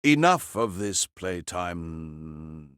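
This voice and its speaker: A man playing a cold, commanding mage who orders his servant from hiding in a slow, deliberate manner. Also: deep voice, very animated, a bit bright, clear, resonant tone, no room sound, clean studio sound, full character voice. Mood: cold, impatient.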